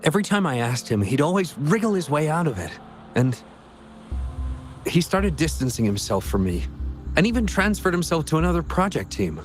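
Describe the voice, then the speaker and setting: A man's voice: on the high side, sing-song, normal speed, soft background music. A man voicing an earnest, caring young male researcher in a video game. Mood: concerned, reflective. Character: earnest young male researcher worried for an estranged childhood friend.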